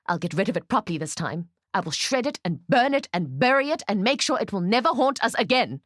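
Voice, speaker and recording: A woman voicing a weary, exasperated old woman with a gruff edge, in a dramatic story reading. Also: mid-range voice, animated, normal speed, darkish tone, no room sound, clean studio sound, natural voice. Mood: fuming.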